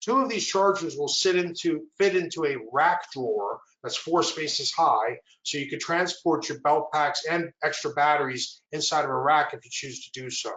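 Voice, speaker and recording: A man's voice: a little high, normal speed, few pauses, echoey room, poor audio. A man giving a product presentation, walking through slides and asking for the next one. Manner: steady explanatory walkthrough, asks for the next slide.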